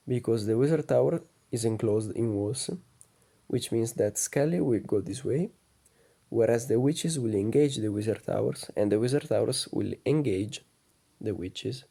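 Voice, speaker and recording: A man talks over game footage in a low, soft voice. His tone is dark and gravelly. He explains each move in a calm, methodical way. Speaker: gaming channel host. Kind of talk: gameplay commentary voice-over.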